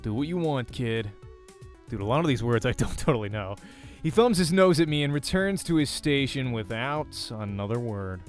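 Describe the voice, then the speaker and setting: A man's voice: medium pitch, expressive, brisk, hardly any pauses. A man hosting a let's play, informally reading visual novel narration aloud. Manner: informal, breaks off to comment. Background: background music.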